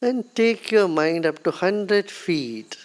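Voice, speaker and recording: A meditation teacher with an Indian accent guiding a practice in a calm, unhurried, gently instructing way with long pauses. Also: mid-range voice, low-quality recording.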